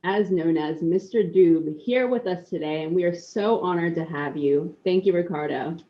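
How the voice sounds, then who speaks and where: A woman's voice: on the low side, moderate pace, dull audio. A woman interviewing a guest, asking polite, upbeat questions. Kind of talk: red carpet interview.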